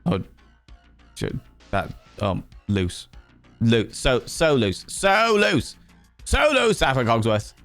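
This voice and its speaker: A man's voice: medium pitch, huge pitch swings, slow pace, long pauses, fairly bright tone, no room sound, soft background music. A man with a Yorkshire accent, chatting casually with his live-stream audience, cheeky and teasing, swearing freely. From Yorkshire, England.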